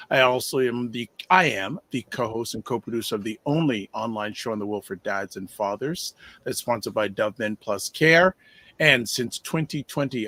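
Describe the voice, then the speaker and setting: An upbeat male host opens a casual online morning show in an average-pitched voice. He reads sponsor phone numbers slowly and deliberately. The sound is dry and close, with no room echo. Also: a bit bright. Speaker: podcast host.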